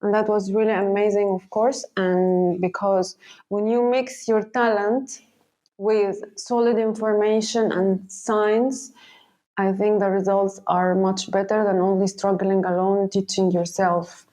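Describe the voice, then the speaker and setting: A woman from Egypt, a painter answering interview questions in a halting way, with many fillers and restarts. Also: mid-range voice, little pitch movement, warm tone. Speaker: guest painter.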